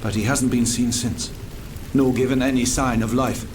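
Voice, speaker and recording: A man playing a troubled, earnest French gentleman scientist in a video game, formal and theatrical. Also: mid-range voice, steady tone, normal speed, a little hiss or hum, lightly acted. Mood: grave, earnest. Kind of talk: dramatic video game cutscene acting.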